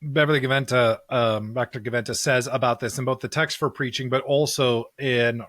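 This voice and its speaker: A man's voice: mid-range voice, fairly even intonation, fairly quick, fairly bright tone, dry recording, clean studio sound. A man hosting a Bible podcast, explaining and reading scripture in an earnest, emphatic way. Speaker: podcast host discussing scripture.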